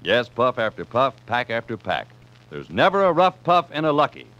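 An American man announces a 1950s radio commercial. His mid-pitched voice is animated and varied, and the vintage recording is muffled. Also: moderate pace.

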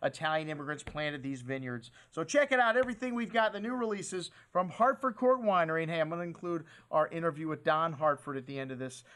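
Man, middle-aged, high voice, enthusiastic wine seller, casual talk to camera. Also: expressive, quick pace, few pauses, a bit bright. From USA. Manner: enthusiastic, chatty salesman with "hey" and "man". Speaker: wine shop host. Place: wine shop set in front of wine crates, indoors.

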